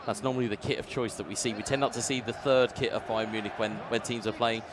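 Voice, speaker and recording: A man calls an esports football match play by play, his voice sitting at a middle pitch. He turns excitable and booming whenever a chance comes. Faint traffic noise hums in the background. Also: fast talker. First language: English. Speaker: esports commentator. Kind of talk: play-by-play commentary.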